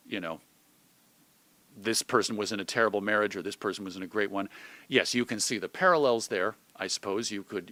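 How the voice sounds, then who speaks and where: American man, middle-aged, bright forceful tone, dry close sound, animated, thoughtful, given to digressions, interview guest. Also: fairly low pitch, no echo. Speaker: interview guest, magazine publisher and radio host. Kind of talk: conversational interview discussion.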